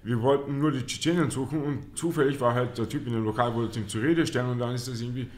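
A young man telling a story as an interview guest, in colloquial and somewhat halting speech. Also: mid-range voice. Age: young adult. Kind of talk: casual storytelling. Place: small indoor studio lounge. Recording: slightly echoey room.

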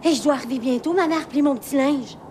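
An actress playing an excitable young hero with a Québécois accent, exclaiming and impatient. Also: female voice, high voice, quick pace, noisy background, natural voice. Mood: excited. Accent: Québécois French.

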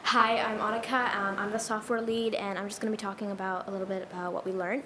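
A teenage girl, her team's software lead, presenting to a school board in an upbeat way, listing points with some fillers. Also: medium pitch, flat delivery, quick pace. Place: school library meeting room, indoors. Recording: echoey room with clear background noise.

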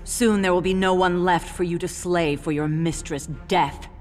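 A woman's voice: on the low side, steady tone, normal speed, a little mellow, faint music behind, natural voice. Woman playing a stern, defiant warrior daughter. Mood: stern, urgent. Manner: grave, blunt. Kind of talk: video game drama acting.

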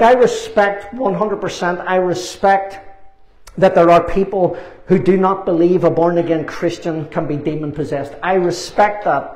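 An older man in his sixties preaches with fervour in a high-pitched voice. He drives points home with emphatic repetition and deliberate pauses. The room adds a clear echo around his words. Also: a little mellow. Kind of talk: fervent sermon. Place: small church meeting room, indoors. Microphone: lapel mic. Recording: roomy, echoing meeting room.

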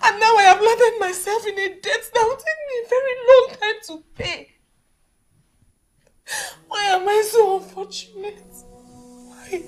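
High, slow, downtrodden Nigerian mother. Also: lively intonation, soft background music, exaggerated voice. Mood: tearful despair. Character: downtrodden, pleading single mother, weary but hopeful. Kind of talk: film drama acting.

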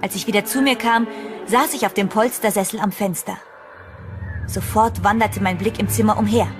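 A woman's voice: mid-range voice, steady tone, normal speed, lightly acted. An actress playing a frightened young woman who narrates her ordeal in a horror audio drama, dramatic and suspenseful. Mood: tense, bewildered. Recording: music behind the voice.

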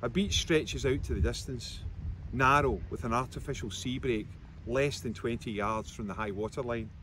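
A man's voice: mid-range voice, moderate pace, a bit bright. A man in his fifties from Scotland, an author reading narrative prose aloud from his own book, outdoors. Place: outdoors by a seafront concrete wall. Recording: outdoor recording with background noise.

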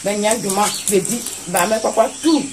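Repetitive woman, clearly noisy open-air recording. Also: medium pitch, brisk. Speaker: villager speaking in a deliverance ritual. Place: open-sided yard outdoors. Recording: noisy outdoor phone video, off mic.

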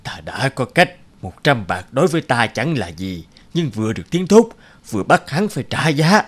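A cruel, scheming rich landlord. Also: male voice, mid-range voice, sing-song, moderate pace. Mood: haughty, menacing. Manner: haughty, threatening, turning fawning before officials. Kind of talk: animated folk tale dubbing.

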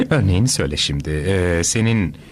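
A man's voice: deep voice, expressive, normal speed. An actor voicing a smug male superhero therapist in a cartoon dub, patronizing and probing with professional airs. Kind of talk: animated cartoon dub acting.

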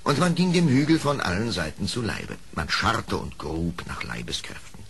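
A man's voice: deep voice, very animated, moderate pace, gravelly. A man narrating an audio play as a dramatic storyteller, reading from a script. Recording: faint hiss of old record.

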